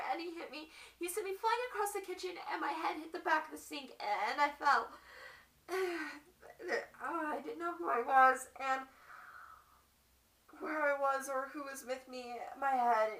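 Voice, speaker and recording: A young woman performing a dramatic monologue as a lovestruck battered wife, halting and confessional, on a distant mic. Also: medium pitch, vocal fry, a bit bright. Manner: halting, confessional, repeats phrases. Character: lovestruck, battered wife recalling her violent husband.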